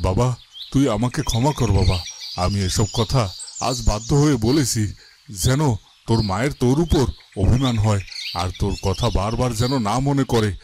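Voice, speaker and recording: A guilt-ridden, protective father from a cartoon tale, acted by a man. His mid-pitched voice is halting and remorseful. Birdsong and clear noise sit behind it. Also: natural voice. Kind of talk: melodramatic cartoon acting.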